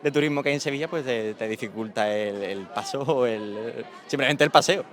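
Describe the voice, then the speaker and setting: Man, street interview, outdoors, hesitant, fast talker. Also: mid-range voice, fairly bright tone. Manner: hesitant, repeating words. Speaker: passer-by.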